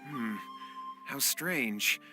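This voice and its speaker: A man's voice: medium pitch, animated, extremely slow. A man playing an earnest, anxious, deferential young martial artist in an anime-style game, hesitant and pleading. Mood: puzzled. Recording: background music.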